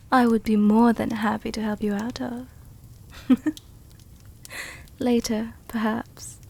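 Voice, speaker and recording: A woman playing a seductive, protective vampire countess in an intimate ASMR roleplay, speaking tenderly and teasingly with a soothing, soft-dominant manner. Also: mid-range voice, creaky. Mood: flirty, teasing. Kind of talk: intimate ASMR roleplay, scripted character acting.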